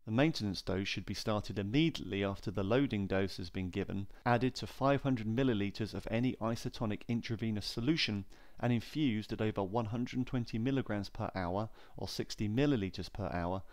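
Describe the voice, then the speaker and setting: A man narrating a scripted training film in a measured, formal, clearly enunciated way. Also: fairly low pitch.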